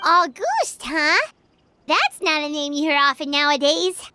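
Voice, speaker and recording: A woman's voice: big pitch swings, a little slow, pushed and bright, a little hiss or hum, heavily acted. A woman voicing a tiny, eager female sprite companion who talks about herself in the third person, in video game cutscene dialogue. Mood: curious. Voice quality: high, squeaky childlike voice.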